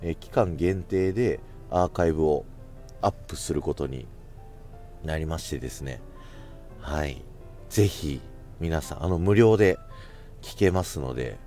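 A man with a very low, deep voice and a bright, forward tone hosts a casual solo chat. He talks conversationally, working out his thoughts aloud. Soft music plays faintly behind him. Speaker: podcast host who works in radio sales.

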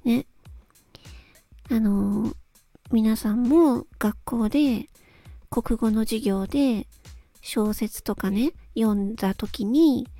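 A woman hosting a solo talk, speaking casually and reflectively to listeners in a polite, gentle, hesitant way as she thinks aloud. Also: a little high, no echo. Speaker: solo host, amateur voice actress. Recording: close dry recording with background music. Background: background music.

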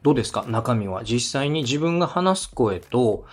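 A man's voice: mid-range voice, animated, moderate pace, faint music behind. A man hosting a podcast interview as a radio director, speaking politely and encouragingly. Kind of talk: structured podcast interview with feedback.

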